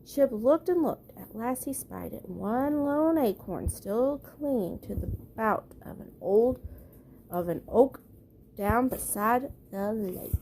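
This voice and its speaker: A woman's voice: fairly high pitch, animated, slow, warm tone, slight background noise, poor audio. A woman reading a children's storybook aloud at bedtime, as the narrator. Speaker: storybook reader.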